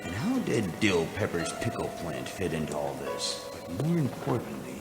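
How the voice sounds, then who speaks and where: A wry noir private detective in a radio comedy. His low voice delivers deadpan wisecracks with plenty of swing in it. It sounds roomy, with music underneath. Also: moderate pace, gravelly, exaggerated voice. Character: wry hard-boiled private detective narrator, hard-drinking. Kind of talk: 1940s radio detective parody acting.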